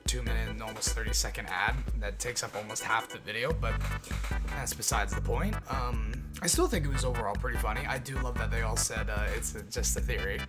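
A man's voice: lowish voice, a little fast. Young man reacting casually, music behind. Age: young adult. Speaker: reaction video host. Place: small cluttered home room. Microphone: large desk mic up close.

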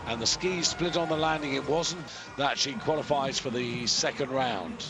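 A man giving live, measured commentary on a ski jumping competition, explaining scores and distances with pauses and fillers. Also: on the high side. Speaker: sports commentator.

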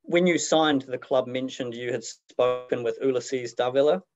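A male reporter puts straightforward questions to a player. His voice is medium in pitch, and the recording sounds slightly dull and lo-fi. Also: moderate pace. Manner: plain, direct questioning. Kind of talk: press conference questions.

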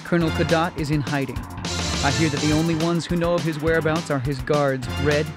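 A man's voice: on the high side, fairly even intonation, brisk, music in the background, close to an everyday voice. A man voicing a commander who briefs the hero on a mission in a matter-of-fact way. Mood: briefing. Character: male commander giving a mission.

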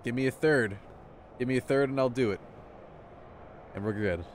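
A man in his twenties in a small room with a headset, casually commentating a live stream and chatting excitedly with viewers. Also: medium pitch, moderate pace, slight background noise. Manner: excitable, chatty, addresses chat.

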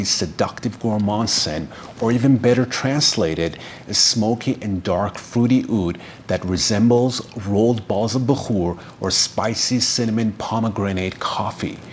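A middle-aged man whose native language is Farsi speaks to camera in a fairly low voice. He reviews fragrances effusively, lingering over each description. The indoor recording carries some steady background noise. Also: unhurried, hardly any pauses. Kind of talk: enthusiastic product review to camera. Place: indoor room, seated.

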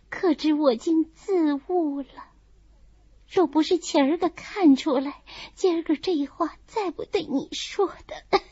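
A woman acts out a frail noble girl in her teens, sensitive and melancholy. Her voice is very high and bright, confiding in a slow, plaintive way, as in an audiobook drama. The audio is a little dull. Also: dry recording. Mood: rueful, confiding. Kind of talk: dramatised audiobook reading of a classical novel.

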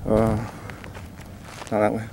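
A man's voice: on the low side, completely flat delivery, slow, mellow, slight background noise. A man giving brief offhand replies from behind the camera on an outdoor hiking trail. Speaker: camera operator. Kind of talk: casual chat.

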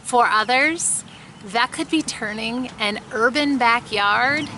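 A woman's voice: on the high side, sharp tone, a little hiss or hum. A woman in her thirties talking casually to camera in her backyard, earnest and chatty, with small laughs. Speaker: homestead vlogger. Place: outdoors in a grassy backyard beside a chicken coop. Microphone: camera mic at close framing.

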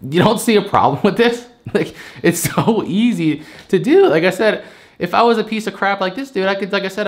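A man, a storage unit buyer arguing his case, frustrated and rambling, repeating himself as he pushes his point. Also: sits high. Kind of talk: heated phone argument.

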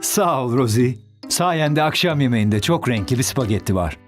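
A man's voice: mid-range voice, lively intonation, moderate pace, faint music behind, lightly acted. A man voicing a friendly, patient, playful father in a children's cartoon.

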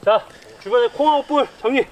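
A man in his thirties coaching football players on an outdoor pitch, giving brisk instructions and commands, recorded from a distant camera. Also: a little hiss or hum.

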